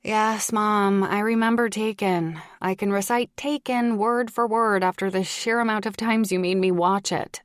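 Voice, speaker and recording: A woman's voice: medium pitch, fairly even intonation, unhurried, hardly any pauses, no echo, clean studio sound, lightly acted. A woman voicing a dramatic, gushing young adult daughter in an audiobook, with an emphatic, youthful delivery. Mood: exasperated. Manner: whiny, enthusiastic, emphatic. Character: dramatic, gushing college-age daughter on the phone.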